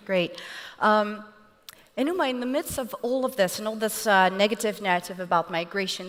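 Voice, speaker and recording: Moderator: woman, mid pitch, measured questions, hall echo. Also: a bit bright. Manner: inquisitive, measured. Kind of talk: moderating a panel. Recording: echoey hall.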